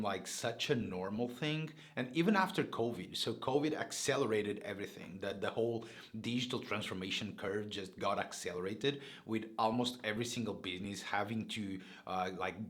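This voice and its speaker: A man's voice: on the low side, fairly bright tone. Earnest Portuguese-accented man in his thirties. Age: 30s. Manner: earnest, rambling with many fillers and restarts. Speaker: YouTuber. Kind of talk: casual talking-head vlog.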